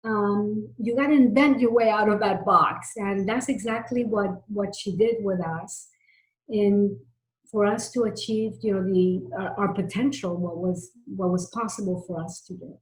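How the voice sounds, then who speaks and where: A middle-aged woman from Miami gives personal, conversational interview answers. She sounds earnest and leans on repetition to make her points. Her pitch is mid-range, and the recording has a noticeable room echo. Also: measured pace. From Miami, United States, of Cuban family. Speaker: interview guest, technology executive. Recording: roomy, echoey sound.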